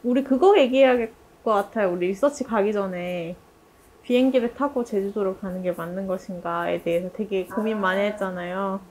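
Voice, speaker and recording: A woman's voice: mid-range voice, brisk, darkish tone, a little hiss or hum, poor audio. A woman hosting a casual team discussion as a director, chatty and polite, thinking aloud as she talks. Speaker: theatre director hosting a team review interview.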